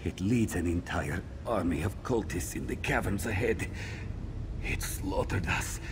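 Casting: blunt, embittered game warrior; deep, slow, gravel-throated, urgent, clear background noise. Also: male voice. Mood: shaken, grim. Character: gruff, embittered male fighter, shaken battle survivor. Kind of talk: scripted game character dialogue. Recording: noisy game ambience behind.

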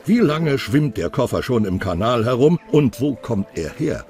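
A man's voice: mid-range voice, big pitch swings, normal speed, a little mellow. A man reading scripted narration for a true crime documentary. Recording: music under the voice. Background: background music.

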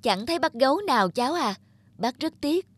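Woman as a high-pitched, kindly mother hen. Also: lively intonation, fairly quick, breathy edge, dry recording. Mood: grateful, regretful. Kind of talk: children's cartoon storytelling dub.

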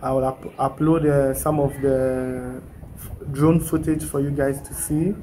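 A man's voice: medium pitch, little pitch movement, a little slow, mellow, a little hiss or hum, low-quality recording. A young man, chatting casually to his vlog audience, filmed on a phone held at arm's length outdoors at a building site, talking loosely with fillers and restarts. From Ghana. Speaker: vlogger.

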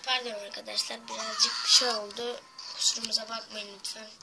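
A video host giving casual reaction commentary while filming a cartoon on a screen with a phone, talking in quick, chatty, apologetic asides. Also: mid-range voice, little pitch movement, vocal fry, low-quality recording. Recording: phone recording with cartoon music behind. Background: cartoon soundtrack playing.

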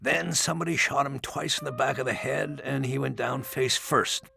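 A man's voice: mid-range voice, moderate pace, creaky. A man voicing a matter-of-fact male medical examiner in a crime audiobook, explaining in a plain, clinical way. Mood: matter-of-fact, grave.

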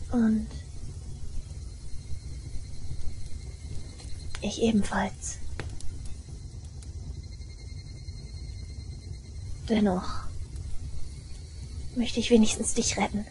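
Woman acting an obsessive, high-voiced young shrine maiden. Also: steady tone, a little mellow, a little hiss or hum, close to an everyday voice, rough recording. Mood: eerily tender. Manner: breathy whispers, slow menacing delivery. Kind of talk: German audio drama acting.

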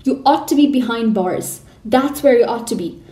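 A woman voicing a furious, bullying headmistress who shouts and rants, recorded in a roomy space from a distance. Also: medium pitch, moderate pace, lightly acted. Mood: raging. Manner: shouting, loud and harsh.

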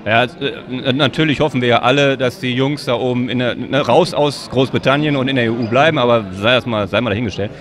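A man's voice: medium pitch, fast talker, few pauses, fairly bright tone. A man in his thirties in a casual, joking interview chat, speaking into a handheld microphone. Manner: joking, informal. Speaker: whisky bottler company representative being interviewed. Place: whisky fair stand. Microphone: shared handheld microphone. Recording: busy fair crowd behind.

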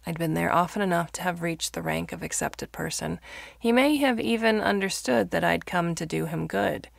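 A woman reading fiction aloud as a narrator, in a measured, expressive storytelling manner. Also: on the low side, vocal fry, no room sound, clean studio sound. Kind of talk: scripted audiobook-style narration of fiction.

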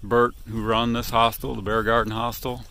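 A middle-aged man casually narrating his hike into a handheld phone, rambling with lots of fillers and pauses. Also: mid-range voice. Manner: rambling, relaxed, many fillers and trailing pauses. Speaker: hiker filming his trail vlog. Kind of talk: casual walking commentary. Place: outdoors at a rural hostel and open hilltop meadows. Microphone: handheld phone camera.

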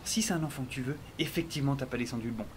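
A man's voice: mid-range voice, rapid-fire, a little hiss or hum, close to an everyday voice. An actor playing a sarcastic, geeky young male scientist in an audio drama, wryly telling his adventure and rambling. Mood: exasperated. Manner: wry, rambling, talks to the listener. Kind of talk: audio drama narration and dialogue.

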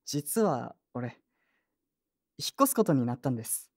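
A woman playing an earnest, polite young man in an audio drama, soft-spoken and sincere. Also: extremely low pitch, big pitch swings, a little slow, husky, heavily acted. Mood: moved, grateful. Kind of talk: Japanese audio drama acting and first-person narration.

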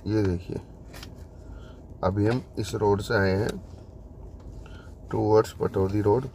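A man's voice: on the low side, flat delivery, slow pace, warm tone, slight background noise. A man giving a casual walkthrough commentary as a property consultant showing a site. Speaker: real estate consultant giving site updates.

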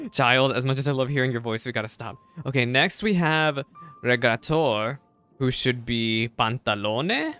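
Clear-voiced young man, chatty streamer. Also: medium pitch, expressive, unhurried, bright, forceful tone, soft background music. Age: young adult. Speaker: game streamer. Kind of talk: casual reaction commentary. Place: indoor streaming setup. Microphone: close desk microphone, headset worn.

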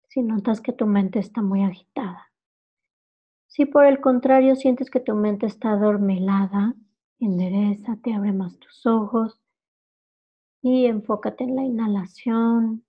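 A woman leading a guided meditation, calmly giving instructions in short, unhurried phrases. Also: medium pitch, husky, highs cut off.

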